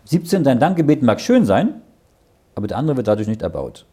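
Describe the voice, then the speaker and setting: Male Bible teacher, middle-aged, husky and lively, reads verses and explains them, often asks "ja?". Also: medium pitch, brisk. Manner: reads verses aloud, then explains them in plain words; often checks understanding with "ja?".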